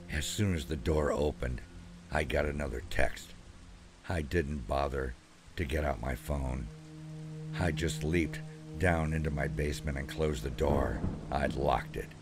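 A man with an extremely deep voice narrates a first-person horror story. He speaks very slowly and deliberately, in a measured, ominous way. Clear music plays beneath the voice-over.

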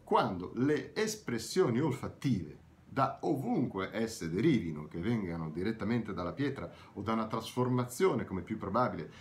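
Deep-voiced man teaching wine tasting. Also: slight background noise. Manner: animated, gesturing, explanatory with self-corrections. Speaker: wine educator. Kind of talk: video tutorial on wine tasting. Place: home room, indoors.

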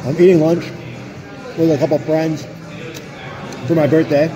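A man's voice: on the high side, steady tone, measured pace, over music, poor audio. Chatty guy reviewing pub food. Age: 30s. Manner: upbeat, chatty, rambling with fillers. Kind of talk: casual food review vlog. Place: booth in a pub restaurant. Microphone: phone held at arm's length.

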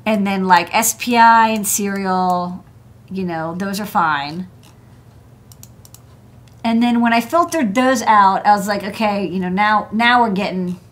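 A woman's voice: mid-range voice, fairly bright tone. A woman in her thirties, an electronics engineer hosting a desk show, walking through parts in a casual, chatty way with many fillers and restarts, seated at her workshop desk. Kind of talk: casual technical walkthrough.

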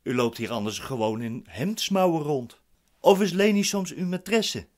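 An actor playing a wary, businesslike accused bank employee in a radio play, formal, curt and questioning. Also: male voice, on the high side, expressive, moderate pace, lightly acted. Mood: brusque.

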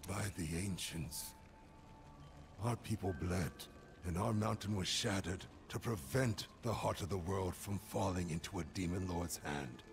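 Deep, gravelly barbarian chieftain. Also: male voice, unhurried. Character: stern, proud male barbarian chieftain. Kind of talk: fantasy video game voice acting. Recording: game music behind the voice.

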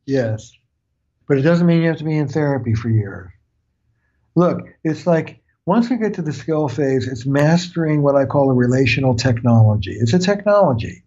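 A man's voice: medium pitch, expressive, normal speed, mellow. Earnest older male therapist, muffled audio. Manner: earnest, explanatory, uses analogies. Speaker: family therapist guest. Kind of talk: reflective interview talk.

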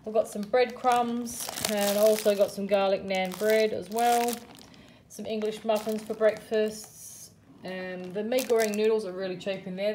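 A middle-aged Australian woman talks through her shopping haul at home, her voice mellow and relaxed. She chats along with easy ums and running price notes, and there is a faint hum of room noise behind her, picked up by a phone camera held over the table. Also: medium pitch. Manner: chatty, running price commentary with frequent ums. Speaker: vlogger showing a grocery haul. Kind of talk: casual chat. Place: home kitchen or dining room.

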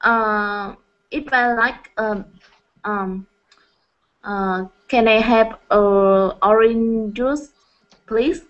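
A woman English learner speaks with a Vietnamese accent. She goes slowly and hesitantly as she builds her answers. The sound is a dull, lo-fi video call. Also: on the high side.

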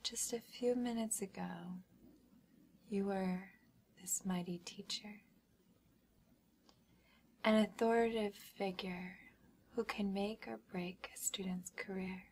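A woman performing a whispered ASMR hypnosis role-play as a smug, controlling schoolgirl, with deliberate, commanding phrasing. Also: medium pitch, fairly even intonation, darkish tone, slight background noise.

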